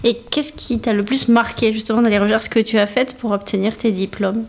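A woman's voice: a little high, steady tone, brisk. A woman hosting a local radio show, asking questions in a friendly way and laughing often. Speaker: radio host. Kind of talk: casual radio interview. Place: small local radio studio.

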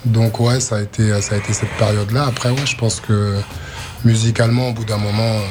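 A man's voice: fairly low pitch, flat delivery, fairly quick, noisy background. A man, a reggae artist, answering casually in a laid-back, conversational way. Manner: laid-back, says "tu vois" often.

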